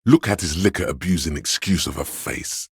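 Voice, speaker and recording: Sleazy inner voice of vice for a video game, super deep and very husky, slow seductive goading, wants booze and thrills. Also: male voice, dry recording, studio quality. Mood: coaxing, leering. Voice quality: gravelly, husky bass. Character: sleazy hedonistic inner voice of a drunkard's nervous system. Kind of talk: video game character voice acting.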